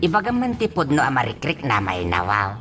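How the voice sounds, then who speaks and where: An actor with an Ilocano accent playing a fretful, fumbling older village father in a radio drama, halting and stammering. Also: mid-range voice, wildly swooping pitch, bright, forceful tone, soft background music. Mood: apologetic, pleading. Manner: halting, stammering, full of exclamations and a repeated catchphrase. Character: fretful, fumbling old village father with a repeated verbal tic.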